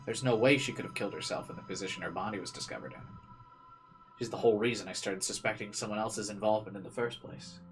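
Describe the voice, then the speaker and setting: A man's voice: fairly low pitch, fast talker, rough recording. A man playing through a visual novel, reading the text aloud and adding casual commentary. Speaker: let's player. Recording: faint game music behind.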